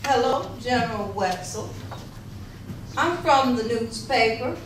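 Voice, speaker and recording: A woman playing a Civil War newspaper reporter in readers theater, with a halting, deliberate delivery. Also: a little high, lively intonation. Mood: inquisitive. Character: 1860s newspaper reporter interviewing a Union general. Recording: very echoey, noisy room, distant mic.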